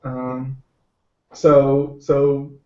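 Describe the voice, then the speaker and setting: Male stream host, unhurried and halting, joking, roomy sound. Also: mid-range voice, monotone, mellow. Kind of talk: casual live stream chat. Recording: roomy, slightly dull stream audio.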